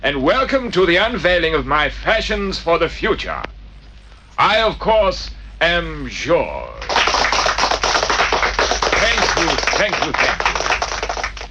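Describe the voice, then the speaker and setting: An actor playing a flamboyant, self-important fashion designer with a French accent, grandly addressing guests in an old-time radio drama. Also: male voice, on the high side, sing-song, slow pace, pauses often, very noisy, full character voice. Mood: grand, gushing. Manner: grandly theatrical, gushing. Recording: old radio show with loud music.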